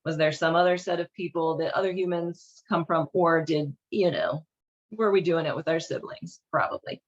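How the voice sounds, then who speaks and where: A woman's voice: deep voice, steady tone, moderate pace, dull audio. A woman hosting a casual read-along and commentary, speaking in an informal, wry, irreverent way. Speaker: host reading and commenting on a children's Bible.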